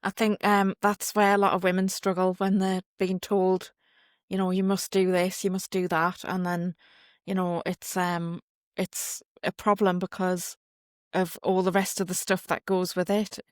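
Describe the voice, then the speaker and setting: A woman's voice: on the low side, level tone, measured pace, no echo, studio quality. A woman with a Geordie accent hosting an interview, chatty and rambling, with filler words. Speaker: interview host. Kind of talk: conversational interview.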